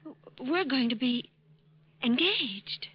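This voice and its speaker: A woman's voice: high voice, lively intonation, slow pace, husky, heavily acted. An actress in an old radio drama playing a gullible, lonely, tenderhearted woman, flustered and earnest. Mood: startled, tender. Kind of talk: mid-century American radio drama acting. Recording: muffled, noisy old radio recording.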